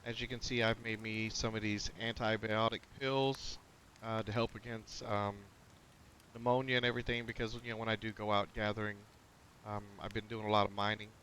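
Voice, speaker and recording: A man's voice: fairly low pitch, little pitch movement, fairly quick, fairly bright tone, a little hiss or hum, poor audio. A man giving casual gameplay commentary on a headset mic, slightly nasal, rambling with fillers and restarts. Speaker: gaming channel host. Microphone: noise-cancelling headset.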